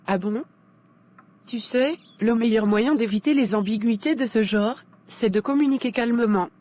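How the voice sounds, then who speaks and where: Woman doing a kind young friend, higher voice, calm and advising, cartoon. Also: normal speed, natural voice. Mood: caring. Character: caring young female friend giving advice.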